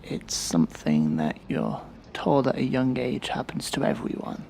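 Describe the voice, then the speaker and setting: Male actor as resentful thirty-year-old man, gravelly fry, sardonic, audio drama monologue, slight background noise. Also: mid-range voice, expressive, dark tone. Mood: wry, reflective. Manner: bitter, sardonic, deliberate.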